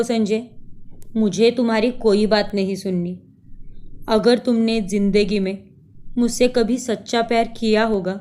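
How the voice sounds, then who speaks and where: A woman plays a heartbroken estranged wife in a cartoon melodrama. She sounds resolute and sorrowful, speaking slowly and dramatically in a soft, mellow voice. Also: fairly high pitch. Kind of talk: melodramatic cartoon story acting.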